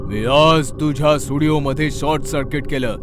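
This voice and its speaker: A man playing the bitter, vengeful ghost of a dead actor in a horror story, speaking in a measured, menacing way. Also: a little high, lightly acted. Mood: furious, threatening. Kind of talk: dramatised horror story acting. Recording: loud music under the voice.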